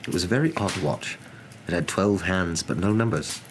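A man's voice: low voice, normal speed, slight background noise. A young man narrating a storybook in an ASMR reading. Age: young adult. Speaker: storybook reader.

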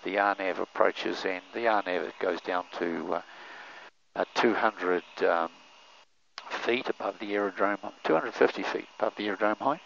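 Older New man, pilot talking through headset in flight, gravelly low voice, muffled intercom over engine drone. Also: normal speed, a little hiss or hum. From New Zealand. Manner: relaxed, explanatory, many fillers. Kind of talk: casual cockpit commentary. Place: small aircraft cockpit in flight. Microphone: aviation headset boom mic.

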